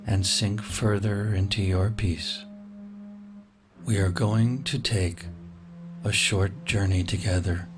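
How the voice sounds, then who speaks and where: A man guiding a sleep meditation, giving slow, soothing, gently paced instructions. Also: lowish voice, fairly even intonation, noisy background. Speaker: meditation guide. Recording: soft music clearly behind the voice.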